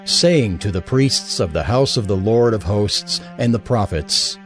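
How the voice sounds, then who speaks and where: A man's voice: fairly low pitch, expressive, measured pace, soft background music. A man reading scripture as the narrator of a dramatized audio Bible.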